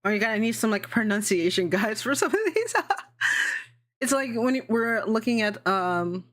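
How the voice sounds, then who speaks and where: A woman's voice: a little high, expressive, moderate pace, fairly bright tone. A young adult woman hosting a casual livestream, chatting in a rambling way, swearing freely and laughing often. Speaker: VTuber streamer hosting a worldbuilding session.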